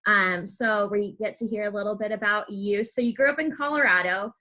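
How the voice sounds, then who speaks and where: A woman's voice: medium pitch, brisk, bright, forceful tone. A young woman hosting a podcast in a casual interview style. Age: young adult. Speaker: podcast host.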